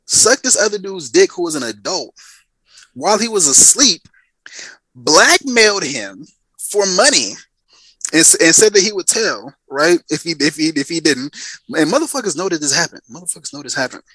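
A man's voice: fairly high pitch, sing-song, moderate pace, bright, forceful tone, slightly rough, no echo, low-quality recording. A man in his thirties, a podcast guest, telling a story in emphatic casual chat and repeating lines for effect. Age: 30s.

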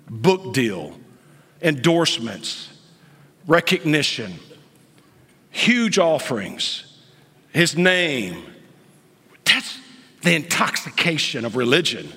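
A man in his late fifties, a pastor preaching a sermon in a church, dropping to whispers and then rising to high, loud outbursts. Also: breathy edge. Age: 50s. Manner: swings from hushed whispers to sudden high outbursts. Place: church sanctuary. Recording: long church reverb.

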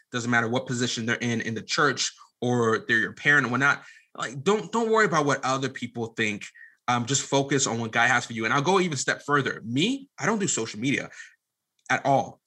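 A man's voice: mid-range voice, sharp tone, husky. A man speaking earnestly and informally as a podcast guest, giving advice in casual interview talk. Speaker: podcast guest, media company CEO.

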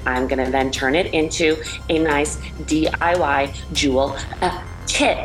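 An earnest, exasperated daughter, played by a woman, speaks at a middle pitch. She is placating yet insistent, in improv comedy. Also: fairly even intonation, measured pace, music in the background, low-quality recording. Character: earnest, exasperated grown daughter, apologising for her mother.

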